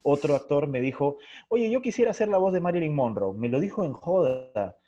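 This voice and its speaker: A man's voice: a little high, animated, fairly quick, mellow. A man speaking reflectively and expressively as an interview guest, thinking aloud as he explains. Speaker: interview guest, theatre playwright and director. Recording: muffled lo-fi online call.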